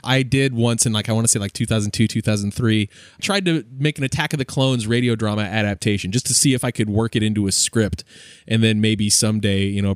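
A man's voice: fairly low pitch, no room sound, clean studio sound. A man hosting a podcast in a casual, conversational style. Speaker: podcast host.

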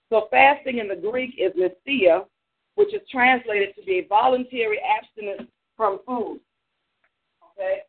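This is a man with a congested voice, teaching a church prayer class as pastor and praying aloud. He talks loosely and pauses on many fillers. His voice is high and comes through a narrow, tinny phone line. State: congested from allergies. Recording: narrow phone-line sound on a talk stream.

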